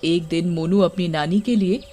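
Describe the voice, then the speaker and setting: A man's voice: high voice, steady tone, normal speed, warm tone. A man narrating a scripted horror bedtime story as the storyteller.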